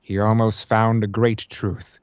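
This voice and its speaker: A man's voice: level tone, clean tone, no echo, muffled recording, close to an everyday voice. A man doing a suave old horror-movie host. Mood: theatrical. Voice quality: slow, drawn-out, deep theatrical delivery. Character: grand old horror-film actor, suave and theatrical.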